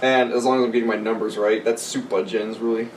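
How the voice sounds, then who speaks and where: A man's voice: mid-range voice, fast. Rambling sweary man in an echoey room. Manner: rambling, animated retelling with mimicked dialogue. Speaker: podcast co-host and army veteran telling a story. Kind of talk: casual storytelling among friends, sweary. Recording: roomy echo, distant mic, faint noise.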